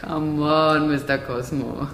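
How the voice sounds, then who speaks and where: A woman's voice: low voice, fairly even intonation, slow pace, a bit bright, a little hiss or hum, heavily acted. An actress playing an enigmatic, cool woman in a radio drama, terse and teasing, with a fine porcelain-like voice.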